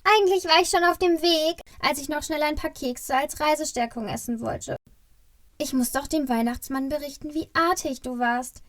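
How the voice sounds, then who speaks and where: Woman voicing high-pitched anxious little elf. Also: moderate pace, a bit bright, dry recording, clean studio sound. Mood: nervous, worried. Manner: fretful, exclaiming. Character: small, anxious, excitable Christmas elf, male. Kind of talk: family Christmas audio play.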